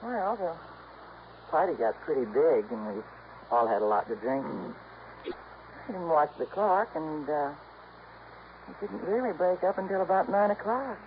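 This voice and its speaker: A woman's voice: sits low, animated, a little slow, pauses often. An actress playing a coy, carefree, hazy party girl in a 1950s radio drama. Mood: coy, languid. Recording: muffled old radio recording, faint noise.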